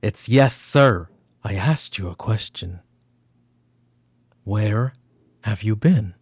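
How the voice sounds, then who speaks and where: A man playing a stern, controlling middle-aged mafia boss and protective father in an ASMR roleplay, with commanding, deliberate, menacing delivery. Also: fairly low pitch, animated, a little mellow, slightly rough, no room sound, full character voice. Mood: stern, angry.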